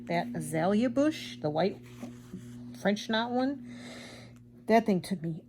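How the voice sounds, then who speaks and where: An older woman chatting casually and rambling as she embroiders at home, self-deprecating, with a loud projecting voice. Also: medium pitch, lively intonation, slow pace, noisy background. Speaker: craft vlogger. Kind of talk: casual chat while embroidering.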